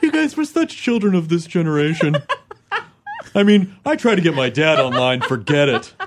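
A man's voice: on the high side, big pitch swings, normal speed, background noise. A man hosting a casual talk show, asking questions and joking around. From Kentucky and Tennessee. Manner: joking, interviewing. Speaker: host. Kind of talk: casual chat.

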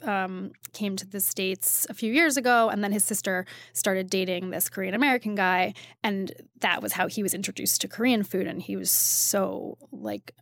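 Woman chatting haltingly, close dry recording. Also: mid-range voice, gravelly, a bit bright, dry recording. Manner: halting, self-interrupting, frequent "like". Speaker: restaurant critic, interview guest. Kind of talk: casual conversational interview.

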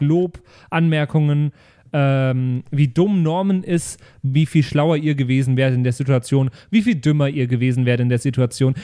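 Slightly high-voiced man chatting as host. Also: darkish tone. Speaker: podcast host and player of an improvised tabletop role-playing show. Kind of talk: casual chatty podcast outro.

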